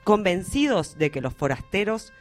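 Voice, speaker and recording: A man's voice: high-pitched, sing-song, moderate pace, soft background music. A man playing an ominous prologue orator in a radio play, grandiose and melodramatic, warning of sinister invaders. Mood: grave foreboding. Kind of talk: theatrical scripted narration, War of the Worlds parody.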